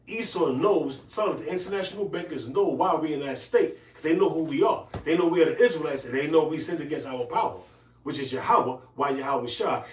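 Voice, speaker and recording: A man's voice: a little high, recorded from a distance. A man giving insistent scripture commentary as a co-teacher, tagging his statements with casual interjections. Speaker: preacher, co-teacher. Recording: dull, roomy, off-mic.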